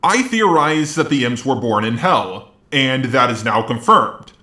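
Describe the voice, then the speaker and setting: A man's voice: mid-range voice, sing-song, a little slow, pushed and bright. A man giving informal review commentary with wry, joking asides. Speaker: video reviewer. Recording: roomy, echoey sound.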